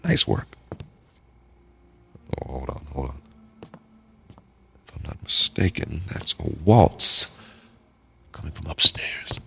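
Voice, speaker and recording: A man acting in a radio drama as a curious, excitable expedition leader who muses aloud and haltingly as he explores. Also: a bass voice, creaky, breathy edge, heavily acted. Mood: amused curiosity. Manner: musing aloud, halting, wondering. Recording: music behind the voice.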